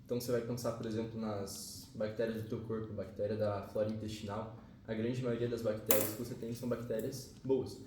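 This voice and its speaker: A man's voice: fairly low pitch, flat delivery, fairly quick, recorded from a distance. A male biology teacher, giving a casual, slangy classroom lesson with occasional swearing and frequent check-ins with the students. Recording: echoey classroom picked up from afar, noisy.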